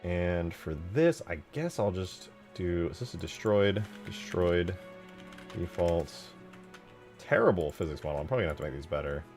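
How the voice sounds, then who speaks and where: A man's voice: low-pitched, animated, normal speed, a little mellow, soft background music. A man giving casual, informal live commentary as he works on game mods, muttering his thoughts aloud with the odd swear. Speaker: game modder, streamer.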